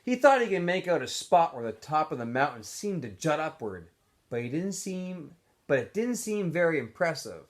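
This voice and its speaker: Animated man reading a storybook aloud. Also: a little high, moderate pace. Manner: animated, acts out dialogue in shifting voices. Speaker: teacher reading a book aloud to students. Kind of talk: expressive storybook narration.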